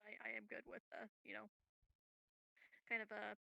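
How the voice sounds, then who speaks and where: A woman's voice: mid-range voice, monotone, normal speed, gravelly, bright, forceful tone, dry recording, low-quality recording. A woman chatting casually as a player in a game group, easygoing and a bit tentative. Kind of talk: casual chat.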